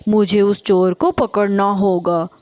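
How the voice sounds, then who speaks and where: A woman's voice: medium pitch, little pitch movement, slow, darkish tone, no room sound, pitched below the performer's own voice, lightly acted. A woman voicing a shrewd, suspicious pigeon set on exposing thieves in a cartoon story. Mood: suspicious, resolute.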